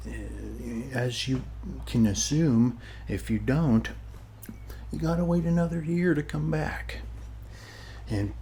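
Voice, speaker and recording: A man playing a friendly, rambling neighbor in a soft ASMR roleplay, speaking haltingly and often dropping to a whisper. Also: medium pitch, a little hiss or hum, lightly acted. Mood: friendly, welcoming. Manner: halting, rambling, reassuring, often ending phrases with "okay". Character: friendly rambling male neighbor at a lake party. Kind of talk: ASMR roleplay, soft-spoken one-sided conversation.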